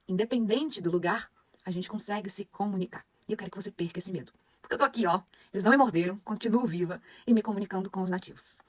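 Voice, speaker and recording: Brazilian woman, young adult, language teacher, fast and animated, dry close sound. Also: medium pitch, no echo. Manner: animated, rhetorical questions to the audience. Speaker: Spanish teacher. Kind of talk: motivational lesson to followers.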